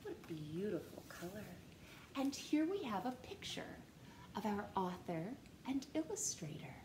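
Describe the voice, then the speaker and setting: Gentle slow woman reading to children. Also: medium pitch, very animated. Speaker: storytime reader. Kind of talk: children's read-aloud. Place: living room, seated on a couch. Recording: echoey room with faint hiss.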